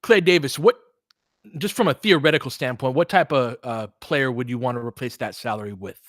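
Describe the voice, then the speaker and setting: A man's voice: medium pitch, a little fast, a bit bright, no echo, clean studio sound. A man hosting a live basketball stream, giving casual, analytical commentary and chatting with viewers in between short reactions to the play.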